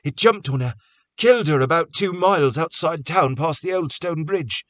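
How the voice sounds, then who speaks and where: A man's voice: on the high side, animated, moderate pace, fairly bright tone, dry recording, voice pushed up. A man voicing a wounded, shaken village man who stubbornly insists he is fine. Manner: stubborn, strained.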